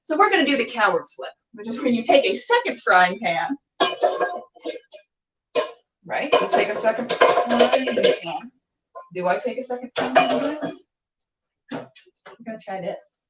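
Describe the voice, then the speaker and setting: A woman's voice: a little high, sing-song, moderate pace, over loud music. A woman chatting casually and playfully to camera as a cooking show host, rambling and talking to herself as she goes. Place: indoors while cooking, live stream. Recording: roomy, distant, dull camera sound.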